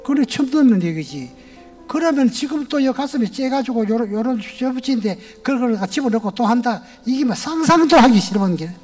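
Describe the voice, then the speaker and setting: A man's voice: very high-pitched, lively intonation, fairly quick, a little mellow, faint music behind. An older man with a Gyeongsang accent, a retired church elder giving a folksy, homespun personal testimony to a congregation in a large church sanctuary. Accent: Gyeongsang Korean.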